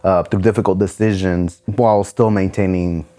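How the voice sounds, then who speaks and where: Deep-voiced man, casual and relaxed. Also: normal speed. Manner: relaxed, casual, many fillers like um and kinda. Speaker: nonprofit vice president. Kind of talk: documentary interview.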